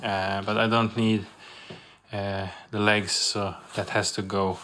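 A young adult man talking casually as a vlogger, in a halting, reflective way. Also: lowish voice, flat delivery, a bit bright. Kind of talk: casual vlog narration.

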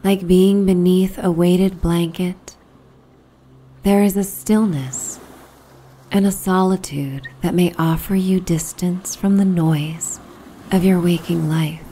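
A woman narrating a guided sleep meditation in a soothing, hushed, breathy and unhurried way. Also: fairly low pitch, fairly even intonation, slight background noise. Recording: soft background ambience soundscape. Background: relaxing ambient soundscape.

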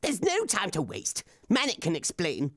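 A woman playing a bossy excitable boy inventor. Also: fairly low pitch, normal speed. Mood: flustered. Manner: bossy, exclaiming, calls others idiots. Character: male space-mad inventor, bossy and excitable. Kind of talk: children's TV puppet comedy.

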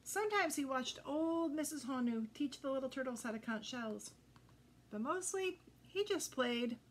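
An older woman reading a children's picture book aloud, expressive and addressing young listeners directly. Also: high voice, normal speed. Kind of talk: children's read-aloud storytelling. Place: indoors before a green backdrop. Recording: noticeable background noise.

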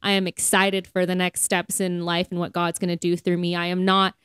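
Clear-voiced woman chatting earnestly. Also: lowish voice, completely flat delivery, brisk, fairly bright tone, no room sound, clean studio sound. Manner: conversational, earnest, filler-heavy with frequent "like". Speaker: podcast co-host. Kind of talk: casual faith podcast chat.

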